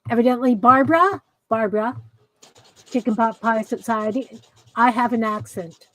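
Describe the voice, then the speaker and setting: A woman from Canada hosting a casual craft livestream, chatty and rambling with quick laughs. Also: a little high, a bit bright, poor audio. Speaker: livestream host.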